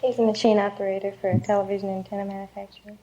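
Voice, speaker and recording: An eighteen-year-old woman contestant on an old radio quiz show, giving brief, reticent answers in a soft voice. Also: medium pitch, little pitch movement, rapid-fire, dull audio. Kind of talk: short answers to interview questions. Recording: vintage radio broadcast.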